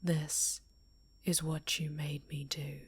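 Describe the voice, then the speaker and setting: A woman playing an obsessive, possessive dark-winged superhero villainess in an ASMR roleplay, with a breathy, whispery voice and menacingly tender delivery. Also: low voice, level tone, heavily acted. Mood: sinister tenderness. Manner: slow, deliberate, pleading possessiveness. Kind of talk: ASMR roleplay monologue, theatrical voice acting.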